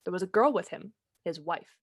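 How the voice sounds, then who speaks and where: A woman playing a calm, knowing storytelling guide in an old-time radio play, explaining matter-of-factly. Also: lowish voice, normal speed.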